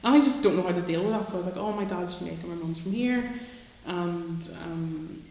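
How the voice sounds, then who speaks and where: Reflective woman, slightly low, heavy reverb. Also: brisk, darkish tone, a little hiss or hum. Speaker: panelist. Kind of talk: casual group discussion. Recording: echoey hall.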